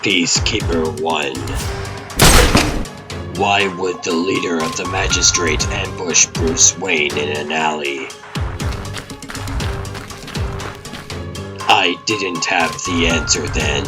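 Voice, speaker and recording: A man playing a brooding, world-weary vigilante detective, narrating his own noir story in a grim, terse, deliberate way for a comic dub. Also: sits low, fairly even intonation, music in the background. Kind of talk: dramatic first-person noir scripted narration.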